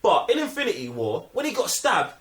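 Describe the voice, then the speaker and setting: A man's voice: sits high, wildly swooping pitch, fairly quick, fairly bright tone. A man with a London accent giving emphatic, slangy opinions in a casual film discussion. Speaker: film review podcast co-host. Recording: echoey room.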